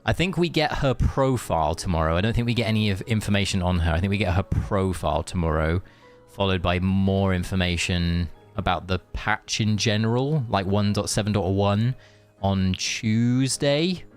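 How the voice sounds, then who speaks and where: A man's voice: low voice, normal speed, few pauses, faint music behind. A man chatting casually on a game live stream, rambling and answering viewers as he plays. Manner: rambling, chatty, reads chat aloud.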